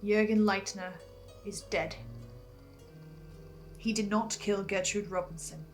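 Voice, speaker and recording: A woman's voice: medium pitch, steady tone, takes it slowly. A woman with a mid-range voice presents scripted theory analysis over music. She words things precisely and delivers them with an earnest, theatrical touch. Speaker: host of a fan theory video series. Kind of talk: scripted analysis narration with dramatic asides.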